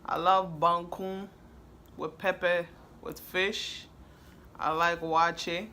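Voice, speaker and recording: Chatty woman with a bright voice. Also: fairly low pitch, flat delivery, takes it slowly, a little hiss or hum. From Ghana. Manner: laid-back, chatty, laughs easily. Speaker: vlogger answering questions. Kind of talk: casual chat.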